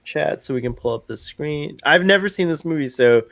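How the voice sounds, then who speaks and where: A man hosting a live stream, chatting casually in an excitable, rambling way and repeating himself. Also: on the high side, fairly quick. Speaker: stream host.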